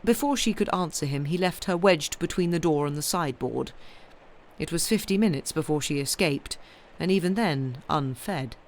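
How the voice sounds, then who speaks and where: Woman reading a classic novel aloud. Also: on the low side. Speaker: audiobook reader. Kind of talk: expressive literary narration.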